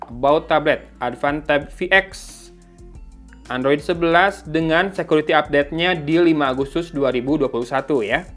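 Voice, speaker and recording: A man's voice: fairly high pitch, a bit bright. A man giving a casual, informal tech review, thinking aloud as he reads out specifications. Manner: informal, thinking aloud while reading out specs. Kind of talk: casual unboxing and review commentary.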